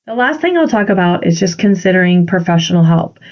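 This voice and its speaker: A woman's voice: on the low side, expressive, brisk, darkish tone. A woman with a congested voice hosting a solo podcast, speaking earnestly and encouragingly as a confidence coach. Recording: dull lo-fi recording.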